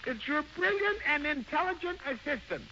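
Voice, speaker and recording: An actor playing an excitable, bumbling suburban husband and father in an old radio comedy, stammering and flustered. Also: extremely high pitch, normal speed. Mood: eager, coaxing. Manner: flustered, stammering, interjecting constantly. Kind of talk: 1940s radio comedy acting. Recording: dull, noisy old-time radio recording.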